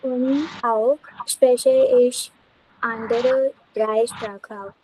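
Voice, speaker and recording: Female student, 19, Indian accent, native Punjabi; highish mellow voice, careful hesitant delivery, slight noise. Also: fairly even intonation, drawn-out words. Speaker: language student. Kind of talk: careful self-introduction in class. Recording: faintly noisy online call with ambience.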